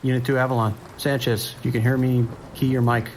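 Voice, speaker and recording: An actor playing a tense, dutiful police dispatcher in an audio drama, with clipped, procedural radio speech. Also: male voice, mid-range voice, level tone, a little fast, slight background noise, close to an everyday voice. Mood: urgent.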